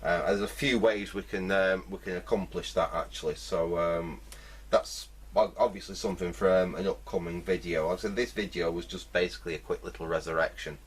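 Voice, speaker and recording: A man's voice: sits low, fairly even intonation, brisk, slightly rough. A man giving casual, hesitant, rambling hands-on commentary as a repair video host. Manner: rambling, hesitant, thinking aloud.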